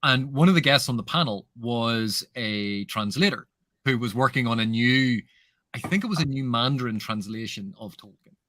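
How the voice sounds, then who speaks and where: A man's voice: medium pitch, moderate pace, fairly bright tone, no echo. A man guesting on a book chat, musing aloud and asking rhetorical questions.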